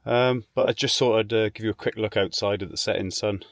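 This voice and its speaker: A man's voice: fairly low pitch, steady tone, a little fast, dry recording, clean studio sound. A British man talking casually to camera as a vlogger, in a chatty, informal, sweary way. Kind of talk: casual rambling vlog chat.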